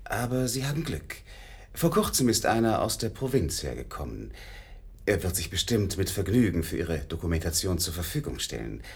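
Pious salvation army officer, low husky voice, lilting and careful, radio play. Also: male voice, big pitch swings, normal speed, exaggerated voice. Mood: pious, helpful. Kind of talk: radio drama dialogue.

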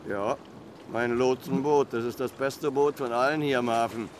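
A man's voice: medium pitch, fairly even intonation, normal speed, close to an everyday voice. An actor playing a cautious, deferential male harbour boat pilot in a German audio drama, plain-spoken in manner. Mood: eager, proud. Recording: faint noise, watery harbour ambience. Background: water and harbour ambience.

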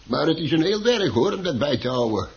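An actor in a radio play playing a chatty, grumbling plant shop keeper who hesitates, stammers and repeats himself. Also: male voice, fairly high pitch, very animated, brisk. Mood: earnest. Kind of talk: Dutch radio play acting. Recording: dull, muffled old radio recording.

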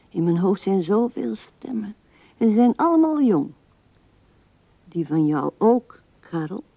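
An actress playing an old widow who muses tenderly and haltingly to her dead husband in a radio play, often sinking to a whisper. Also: fairly low pitch, vocal fry, no echo, full character voice. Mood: tender reminiscing. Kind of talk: intimate radio play monologue. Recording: dull, dry vintage radio recording.

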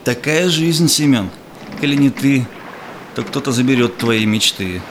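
An actor playing a proud, cynical Cossack robber in a rhymed verse audio play, declaiming emphatically. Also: male voice, medium pitch, expressive, unhurried, background noise, lightly acted. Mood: bitter, cynical.

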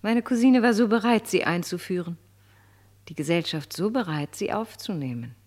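An actress in a German radio play playing a flattering, scheming French marquise with an affected, condescending manner. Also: female voice, fairly low pitch, moderate pace. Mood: gracious reproach. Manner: affected, gracious, condescending, teasing.

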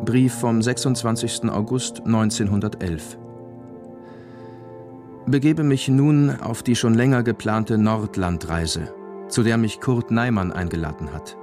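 A man's voice: fairly low pitch, a little slow, natural voice. Sober husband played by a man, music behind. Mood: sober, composed. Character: matter-of-fact husband writing a sober travel letter. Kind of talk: literary radio drama, letter reading.

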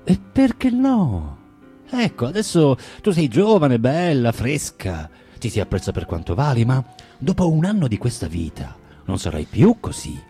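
A brooding, husky, volatile man. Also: mid-range voice, big pitch swings, measured pace, mellow, heavily acted. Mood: insistent, scornful. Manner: brooding, hesitant, swinging between musing and outburst. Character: bitter, self-tormented man confessing, needling a young woman. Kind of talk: dramatic monologue and dialogue, literary radio theatre reading. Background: music.

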